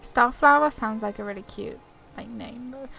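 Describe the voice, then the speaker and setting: A woman's voice: fairly high pitch, normal speed, vocal fry, sharp tone, low-quality recording. A woman giving casual, chatty commentary as she plays through a video game. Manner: chatty, reads dialogue options aloud. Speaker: gaming video creator. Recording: game music playing behind voice. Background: game music.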